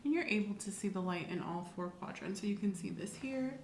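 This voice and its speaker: A woman playing a calm, attentive doctor in an ASMR roleplay, speaking softly, often in a near whisper, with gentle step-by-step instructions. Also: medium pitch, fairly quick, echoey room, a little hiss or hum, natural voice. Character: calm, attentive female doctor giving an exam. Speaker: ASMR performer playing a clinician. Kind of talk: soft-spoken ASMR medical roleplay.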